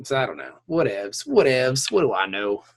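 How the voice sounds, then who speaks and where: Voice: mid-range voice, sing-song, normal speed. A podcast host chatting casually and bluntly, with plenty of swearing. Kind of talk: casual panel chat.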